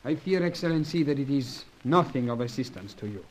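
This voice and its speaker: An actor playing a humble, deferential young foreign informant in an old radio serial, formal and apologetic. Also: male voice, mid-range voice, lively intonation, a little fast, close to an everyday voice, rough recording. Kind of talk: old-time radio adventure serial acting.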